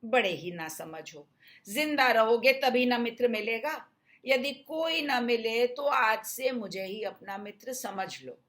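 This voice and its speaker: Innocent male deer for a kids' storybook, woman performing: high voice, earnest, caring, questioning. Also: slow pace, a bit bright. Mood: friendly advising. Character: innocent, kind-hearted male deer, concerned and friendly. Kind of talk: children's storybook voice.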